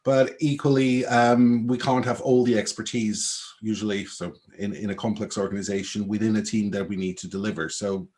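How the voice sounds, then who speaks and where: Low-voiced Swiss man explaining. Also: fairly even intonation, normal speed, hardly any pauses, darkish tone. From Switzerland. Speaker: agile coach, panelist. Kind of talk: panel discussion. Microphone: video call.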